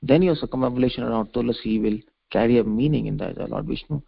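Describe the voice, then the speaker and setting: Male preacher, mid-pitched and creaky, expounding scripture with emphatic repetition and halting phrasing; muffled conference-line sound. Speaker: preacher giving a scripture lecture. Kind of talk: religious discourse, explaining a verse. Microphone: conference call. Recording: dull conference-call audio.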